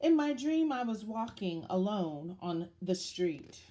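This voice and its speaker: Female children's story reader: unhurried, highly expressive, dramatic read-aloud delivery with wide pitch movement. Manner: dramatic, deliberate storytelling with questions to young listeners.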